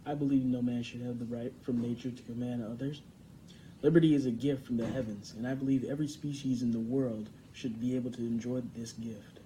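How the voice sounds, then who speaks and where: Brief: a man reading a solemn philosopher, very dark mellow tone. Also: medium pitch, fairly even intonation, a little fast, slight background noise, natural voice, poor audio. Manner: lecturing, read-aloud. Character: solemn male philosopher on liberty.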